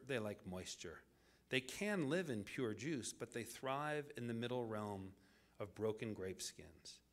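A man delivering a scripted public lecture as the lecturer, in deliberate, measured phrases with dramatic pauses. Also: lowish voice.

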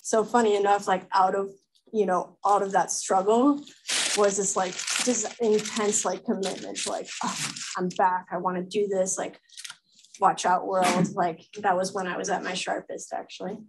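A woman's voice: mid-range voice, little pitch movement, normal speed, slight background noise, poor audio. Chatty woman on a video call. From Belgium. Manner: chatty, filler-heavy, many "like"s. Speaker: guest speaker, Olympic triathlete. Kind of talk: casual talk answering questions.

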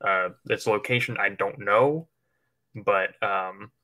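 A man's voice: fairly low pitch, takes it slowly, pushed and bright. A man co-hosting a livestream, chatting casually in a rambling, informal way and swearing now and then. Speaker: livestream co-host. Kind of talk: casual chat speculating about films.

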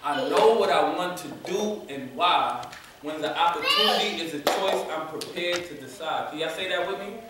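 A young man leading a youth poetry workshop, talking casually and encouragingly, repeating an affirmation slowly for the group. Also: on the high side, animated. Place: large community room with hard walls. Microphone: far camera. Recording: echoey room from far off, noisy.

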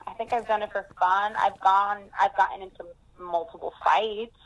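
A woman's voice: medium pitch, steady tone, normal speed, fairly bright tone. A young woman talking casually on a phone call, heard through a speakerphone. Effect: telephone speaker. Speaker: call recipient.

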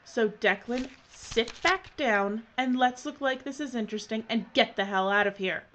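A jaded female director, played by an actress, speaks in brusque, sarcastic film dialogue. Her voice sits a little high, with faint noise behind it. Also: moderate pace, fairly bright tone, lightly acted. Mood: weary, brusque.

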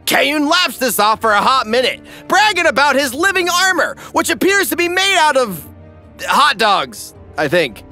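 Male comedy narrator, high and bright, theatrical emphasis and wry asides over a music bed. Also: sing-song, normal speed. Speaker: recap narrator.

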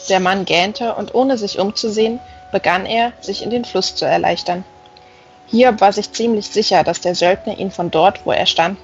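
Female audiobook reader, scripted fantasy narration, slightly lo-fi sound with music underneath. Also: medium pitch.

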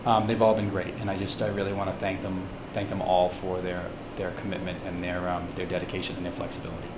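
A man's voice: low voice, little pitch movement. A man serving as town administrator, giving an earnest report at a town meeting, with frequent restarts, on a table microphone in a town hall room. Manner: earnest, fast, with many restarts. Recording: echoey room with hiss.

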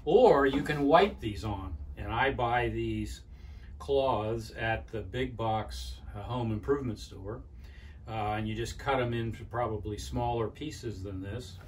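A man's voice: medium pitch, far from the mic, slight background noise. Older man teaching in his workshop. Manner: plainspoken, with frequent "uh" pauses and restarts. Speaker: woodworking instructor. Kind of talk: casual how-to demonstration. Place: cluttered home workshop, indoors. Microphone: camera at a distance.

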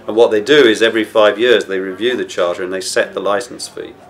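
A middle-aged man, a broadcaster explaining things in a halting, reflective way in an interview. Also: fairly low pitch, steady tone. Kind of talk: documentary interview.

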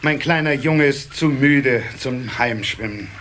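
A man's voice: medium pitch, unhurried, natural voice. An actor playing a caring, fretful water-sprite father in a children's audio play. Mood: friendly. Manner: fatherly, animated. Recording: slightly noisy old cassette.

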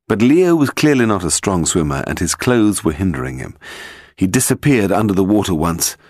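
Audiobook narrator, male: low creaky voice, scripted mystery prose. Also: a little mellow, dry recording, clean studio sound.

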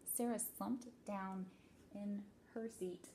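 A woman in her thirties, a teacher doing an expressive read-aloud story time for children. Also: medium pitch, slow, gravelly, a little hiss or hum. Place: small indoor office.

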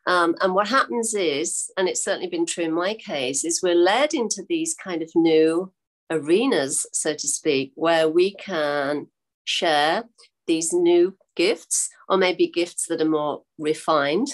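An older woman hosts an interview over a video call from a room at home. Her voice sits a little low, and she talks in an encouraging way with drawn-out phrasing. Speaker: host. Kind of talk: interview hosting. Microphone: video call webcam.

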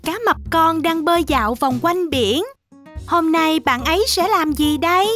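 A woman's voice: extremely high pitch, a little slow, fairly bright tone. A woman narrating a children's animation in an exclamatory storytelling style, talking directly to young viewers. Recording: music behind the voice. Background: children's music.

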